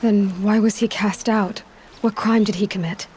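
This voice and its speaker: A woman's voice: medium pitch, fairly even intonation, normal speed, warm tone, a little hiss or hum, close to an everyday voice. An actress playing a determined young woman hunter in a video game, speaking firmly and directly. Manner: firm and direct. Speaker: protagonist. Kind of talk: game cutscene acting. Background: game score.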